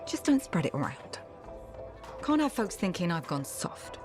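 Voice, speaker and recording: An actress playing a tough, streetwise bar owner in a video game, brusque and wry with a no-nonsense manner. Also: female voice, lowish voice, big pitch swings, measured pace, over music. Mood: gruff. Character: tough, streetwise female frontier bar owner, secretly soft. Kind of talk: video game dialogue acting.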